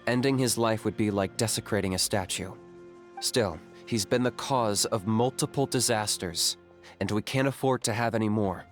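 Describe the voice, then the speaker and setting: Man as a low, deadpan young hunter over music. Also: normal speed, close to an everyday voice. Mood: firm, practical. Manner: calm, deadpan, matter-of-fact. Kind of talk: video game character acting. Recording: game music behind the voice.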